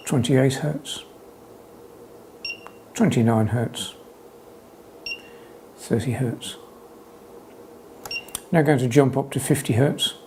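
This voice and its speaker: Guy doing a hobby tech demo, slow n deliberate, creaky voice, bit of noise behind him. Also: medium pitch. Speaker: hobbyist demonstrator. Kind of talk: spoken demonstration, calling out test readings.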